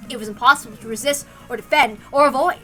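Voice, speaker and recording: A woman narrating a visual novel aloud in an expressive, dramatic storytelling style. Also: on the high side, fast, soft background music. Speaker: narrator, reader.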